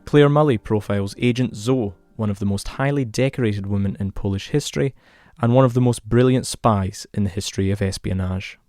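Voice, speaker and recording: A man reading a formal podcast introduction in a smooth announcer's manner. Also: on the low side, a little fast, darkish tone, dry recording, clean studio sound. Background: faint music.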